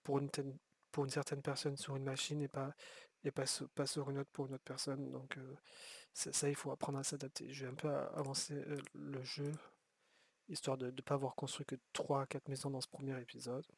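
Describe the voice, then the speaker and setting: A man's voice: medium pitch, completely flat delivery, fairly quick, creaky, no room sound. A man giving rambling, casual commentary while playing a city-building game, often restarting his sentences and swearing offhand. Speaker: gameplay streamer.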